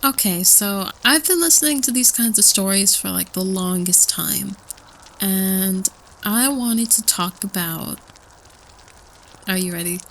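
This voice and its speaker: A woman's voice: mid-range voice, a little slow, fairly bright tone, a little hiss or hum, poor audio. A woman playing a nervous student who rambles through spooky folklore in a hesitant, casual way, repeating herself, in an audio roleplay. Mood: nervous excitement. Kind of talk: casual campfire storytelling roleplay.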